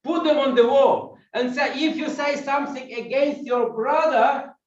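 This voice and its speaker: A man's voice: very high-pitched, fairly even intonation, unhurried, muffled recording. Ukrainian accent guy preaching, walks around, keeps asking the church questions, kinda far off and echoey. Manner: walks while preaching, asks the congregation questions. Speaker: guest pastor. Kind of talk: sermon with audience questions. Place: church hall.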